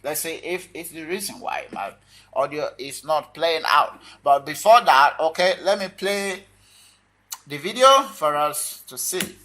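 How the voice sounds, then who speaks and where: Male tutorial host, slightly high-pitched and very bright, informal step-by-step delivery at an unhurried pace. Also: expressive. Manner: informal, addresses viewers as guys, talks through steps in short phrases. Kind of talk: casual screen-recorded tutorial talk.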